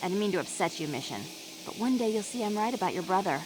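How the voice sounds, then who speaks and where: A woman's voice: mid-range voice, lively intonation, quick pace, background noise, lightly acted. An actress voicing a jaded, blunt young woman in a video game, exasperated and plain-spoken. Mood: resigned. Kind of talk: video game character dialogue.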